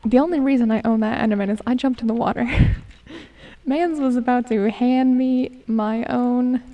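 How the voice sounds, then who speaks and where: A woman in her twenties, going hoarse as she chats casually and mutters to herself while streaming a game. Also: on the high side, normal speed, far from the mic, lo-fi sound. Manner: chatty, self-mocking, hums and mutters to herself. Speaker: gaming streamer. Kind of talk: casual gameplay commentary.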